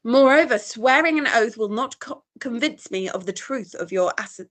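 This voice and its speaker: An actress playing a gentle, sensitive young Victorian heiress in radio melodrama, formal and earnest. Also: female voice, on the high side, animated, moderate pace. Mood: alarmed, solemn. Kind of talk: old-style radio theatre melodrama acting.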